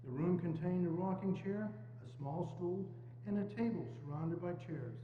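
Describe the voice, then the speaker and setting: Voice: medium pitch, moderate pace. A narrator reading scripted stage narration of a classic story. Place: indoors, church. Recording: muffled, thin echoey hall, faint hum.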